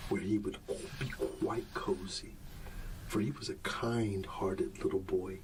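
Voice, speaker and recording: A man softly reading a bedtime story in an ASMR style, soothing and often dropping into a whisper. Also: low-pitched, recorded from a distance, low-quality recording. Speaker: ASMR storyteller playing a dad.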